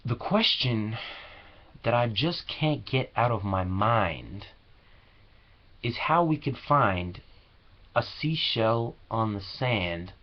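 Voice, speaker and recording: A man reciting slam poetry with earnest, deliberate, dramatic phrasing, on a low-quality home recording. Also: animated, lo-fi sound. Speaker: slam poet.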